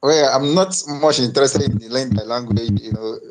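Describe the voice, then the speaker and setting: A man calling into a live audio chat room, speaking hesitantly and softly with many restarts. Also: medium pitch, normal speed, low-quality recording. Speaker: caller.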